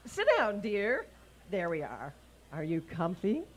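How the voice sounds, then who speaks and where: A woman's voice: lowish voice, big pitch swings, slow pace. A woman playing a wry, lovestruck, scheming schoolteacher in a vintage radio sitcom, arch and gushing with endearments. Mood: gushing, sweet. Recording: noisy live raw event recording.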